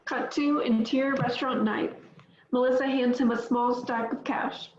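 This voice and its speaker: A woman reads the stage directions in a plain, matter-of-fact way. Her voice sits fairly high. The audio sounds roomy and muffled. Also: steady tone, normal speed, slight background noise. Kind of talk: reading stage directions at a table read.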